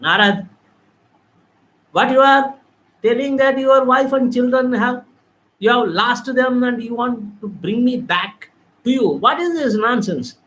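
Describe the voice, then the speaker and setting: A man's voice: extremely high pitch, unhurried, fairly bright tone, voice pushed up, low-quality recording. A man voicing the god Krishna, calling out and then scolding firmly. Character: Lord Krishna, divine male god, calm yet commanding.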